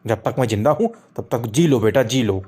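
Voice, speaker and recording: A man's voice: mid-range voice, brisk, warm tone, breathy edge, lightly acted. A man as an exasperated, preachy Indian cartoon dad. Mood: furious, abusive. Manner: preachy, foul-mouthed. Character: exasperated middle-aged Indian father scolding his wayward son. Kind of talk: comic cartoon dialogue.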